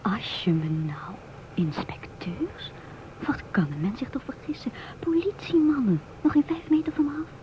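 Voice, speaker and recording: An actress playing a musing, independent stewardess in her twenties in a radio drama, speaking in a hushed, often near-whispered voice. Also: sits high, expressive, moderate pace. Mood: wary whisper. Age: 20s. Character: musing, independent 27-year-old divorced ship stewardess. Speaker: narrator and stewardess. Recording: muffled old radio recording, clear hiss.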